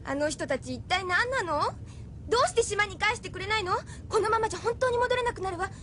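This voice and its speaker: Island girl: young, anxious, informal, suspicious, extremely high voice, for audio drama. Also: lively intonation, fast talker, fairly bright tone, a little hiss or hum. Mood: worried, pleading. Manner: worried, informal, pleading. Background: faint music.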